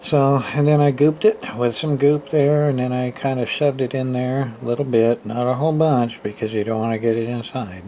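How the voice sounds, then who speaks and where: Folksy man explaining repairs, noisy recording. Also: medium pitch. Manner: folksy, halting, thinking aloud. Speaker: hobbyist repairer demonstrating. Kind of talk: casual unscripted hands-on tutorial. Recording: steady background noise, room ambience.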